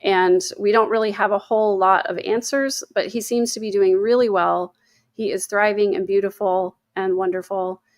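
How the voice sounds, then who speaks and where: A woman's voice: medium pitch, steady tone, moderate pace, no echo, clean studio sound. A woman in her fifties hosting a casual livestream, rambling between topics and reading chat messages aloud with lots of fillers. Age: 50s. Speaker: livestream host.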